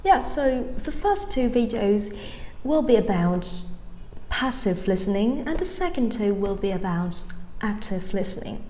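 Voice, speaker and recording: A woman's voice: medium pitch, very animated, measured pace, dark tone, a little hiss or hum. A young Vietnamese woman in her twenties, speaking English with a light Vietnamese-tinged accent with British touches, giving a chatty, rambling language lesson full of ums and restarts. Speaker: English-learning YouTuber. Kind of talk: casual vlog-style lesson. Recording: echoey room with faint ambience.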